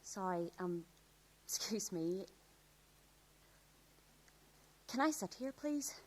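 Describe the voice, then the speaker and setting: A woman's voice: mid-range voice, animated, a little slow. A woman acting an awkward, eager schoolgirl in a radio play, hesitant and self-conscious. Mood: shy, polite. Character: awkward, eager schoolgirl train passenger, reflective narrator. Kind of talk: radio drama monologue and dialogue.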